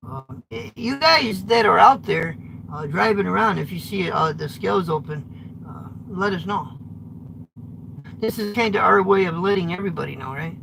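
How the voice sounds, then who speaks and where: A high-pitched American man with a gravelly edge talks bluntly and rambles through a live chat from the cab of his truck. Steady engine noise rumbles behind him, and he restarts his sentences as he goes. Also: moderate pace. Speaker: trucker vlogger. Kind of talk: live-streamed chat with viewers.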